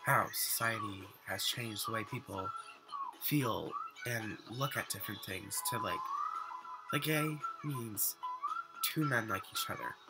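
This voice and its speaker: A man's voice: on the low side, a little slow, loud music behind the voice, low-quality recording. A teenage boy rambling earnestly to a webcam in his bedroom, still getting over being sick, with lots of fillers and restarts. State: recovering from illness, voice slightly off. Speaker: vlogger. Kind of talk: casual webcam rant. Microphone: webcam at desk.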